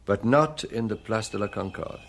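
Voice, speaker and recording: An actor playing a seasoned, methodical police chief inspector in a radio drama, deliberate and authoritative in his questioning. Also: lowish voice, a little hiss or hum, natural voice. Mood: brooding.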